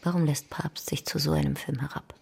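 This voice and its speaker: An actress in a German radio drama playing a glamorous, aloof female film star, cool, languid and quietly firm. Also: low-pitched, steady tone, brisk, gravelly, breathy edge, full character voice. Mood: cool refusal. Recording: music under the voice.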